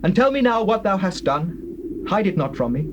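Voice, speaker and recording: An actor playing a grave leader of ancient Israel, declamatory and authoritative, in a dramatized scripture reading. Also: male voice, high voice, very animated, normal speed, lo-fi sound. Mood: grave, urging. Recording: noisy old cassette tape.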